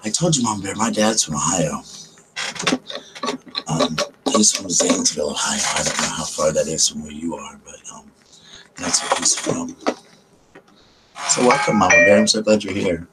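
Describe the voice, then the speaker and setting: A man hosting a late-night live ASMR chat, talking low and slowly with a deep voice and many ums as he greets viewers by name. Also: very noisy. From South Carolina.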